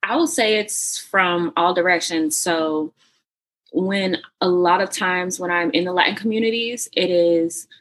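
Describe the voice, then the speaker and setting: A woman with a Texan accent, a model and actress chatting as an interview guest, lively and quick to laugh. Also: lowish voice. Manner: lively and talkative, laughs easily. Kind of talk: casual interview chat.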